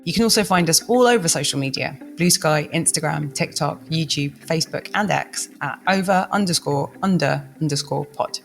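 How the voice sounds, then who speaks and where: A woman co-host reading a friendly, measured scripted appeal for her podcast. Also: sits low, creaky, soft background music.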